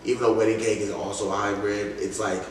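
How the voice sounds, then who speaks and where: Male interview guest, lower register, emphatic and slangy, casual delivery, strongly reverberant room with distant mic. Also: fast. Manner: animated, emphatic, slangy storytelling. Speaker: interview guest, music artist. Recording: very echoey room, distant mic, faint noise.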